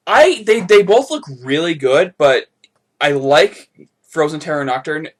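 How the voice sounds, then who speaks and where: A man co-hosting a gaming podcast in casual chat, explaining things in a loose, rambling way. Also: a little high. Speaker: podcast co-host.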